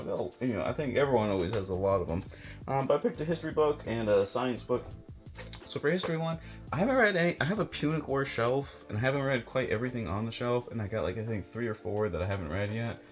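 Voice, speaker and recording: A man's voice: medium pitch, animated, brisk, faint music behind. A man chatting casually and unscripted as a book reviewer, rambling and hesitant with lots of filler words. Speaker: book reviewer and video host. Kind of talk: casual unscripted chat to camera about books.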